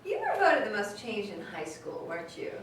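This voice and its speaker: Heard from a distance in a very echoey, noisy room, a woman plays a reflective mother. She has a mid-pitched voice with lively swings, speaking gently and with some self-reproach in a staged reading. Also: normal speed. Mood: wistful, agreeable. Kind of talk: staged reading, conversational dialogue. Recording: very echoey hall, distant mic, clear noise.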